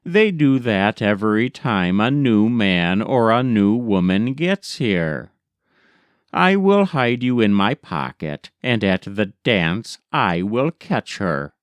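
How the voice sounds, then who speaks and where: A man voicing a helpful old man in a storybook tale, giving calm, deliberate instructions. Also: fairly low pitch, clear, resonant tone, dry recording. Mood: reassuring. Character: helpful old man, calm guide with magical know-how. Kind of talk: storybook reading.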